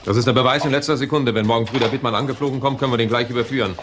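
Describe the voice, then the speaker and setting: A man acting an impatient male police official in a crime radio drama. He is curt and brisk, mid-pitched and fast, with clear noise on the recording. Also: fairly even intonation, lightly acted. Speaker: actor.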